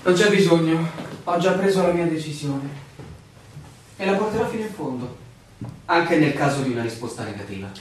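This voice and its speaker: A man's voice: a little high, animated, normal speed, close to an everyday voice. An actor playing a stubborn, intense male outsider in a radio drama, insistent and ironic in his questioning. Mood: defiant. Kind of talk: Italian radio drama acting. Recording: echoey room, distant mic, faint noise.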